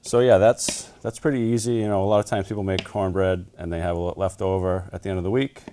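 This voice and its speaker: A man's voice: deep voice, level tone, fast, warm tone. A man, the town manager, cooking as a guest and explaining each step in an easygoing way, with lots of "uh" and restarts. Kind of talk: casual cooking demonstration.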